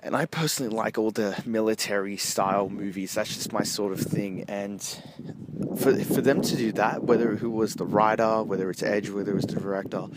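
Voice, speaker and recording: A man with a fairly low voice talks through a casual amateur movie review. He is hesitant and halting, often rephrasing as he goes. He is walking outdoors along a street, and the noise of traffic is clearly heard behind him. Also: steady tone. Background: street and vehicle noise.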